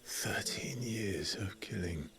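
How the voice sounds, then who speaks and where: An actor playing a guilt-ridden young nobleman and hardened wanderer in a video game, low, breathy and hushed, speaking haltingly with restrained feeling. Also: music in the background. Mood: anguished. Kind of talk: dramatic video game voice acting.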